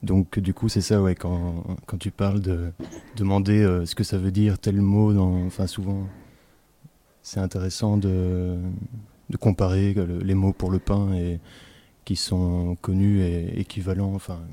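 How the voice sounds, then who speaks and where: Deep guy, kinda monotone, keeps restarting his sentences. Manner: halting, repeats words while searching. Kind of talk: casual radio chat.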